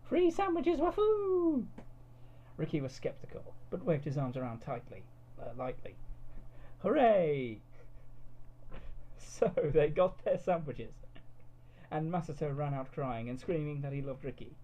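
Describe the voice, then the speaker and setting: A narrator reads fan fiction aloud in a mock-serious way. The voice is mid-pitched, soft-edged and a little raspy. The delivery is dramatic storytelling. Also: moderate pace, highs cut off.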